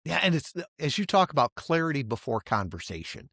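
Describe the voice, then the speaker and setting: A man's voice: fairly high pitch, big pitch swings, fairly quick, vocal fry, a bit bright, no echo, studio quality. A man hosting an interview in a conversational style, reflecting on points and asking questions. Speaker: interview host.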